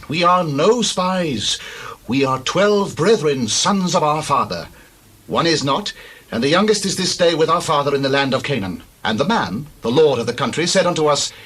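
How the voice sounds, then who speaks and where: An earnest brother in a biblical audio drama. His voice is husky and rough-edged, moving through a wide range of pitch with measured emphasis on the archaic words. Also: a bit bright, heavily acted, low-quality recording. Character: earnest brother reporting to his aged father. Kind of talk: dramatized scripture reading in archaic English.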